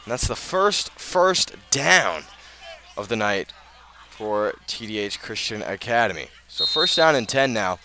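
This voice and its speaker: A man doing live radio play-by-play of high school football, often halting and correcting himself as he goes. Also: big pitch swings, noisy background. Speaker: play-by-play sports announcer.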